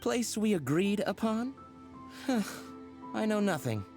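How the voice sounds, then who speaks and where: A coy secretive informant. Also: male voice, sits high, big pitch swings, slow pace, music in the background, full character voice. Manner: coy, evasive, then matter-of-fact. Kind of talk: video game voice acting.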